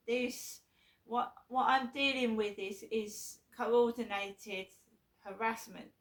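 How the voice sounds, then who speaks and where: A middle-aged woman with a sore throat, talking casually to camera in a rambling, halting way full of ums and restarts. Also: mid-range voice, creaky. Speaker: vlogger.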